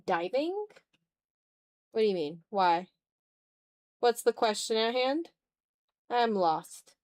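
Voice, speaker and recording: A woman streamer chatting informally on a livestream while she draws, often muttering to herself as she works. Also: mid-range voice. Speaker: streamer hosting an art stream. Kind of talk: casual livestream chat while drawing.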